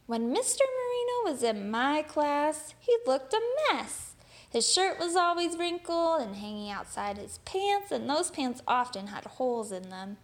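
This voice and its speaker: A woman voicing a caring female schoolteacher from a picture book, animated, emphatic and encouraging. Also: high voice, a little slow. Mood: amused, animated. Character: caring woman schoolteacher who fondly recalls past pupils.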